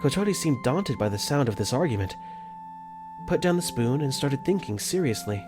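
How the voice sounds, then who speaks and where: A young man voicing an earnest, caring dorm caretaker for a fan dub, gently narrating his own thoughts in first person. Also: medium pitch, very animated, normal speed, lightly acted. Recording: faint music under the voice.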